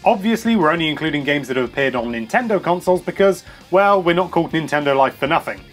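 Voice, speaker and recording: A man's voice: a little high, animated, quick pace. A British man hosting a scripted countdown with an enthusiastic, cheeky manner. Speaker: video host. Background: music.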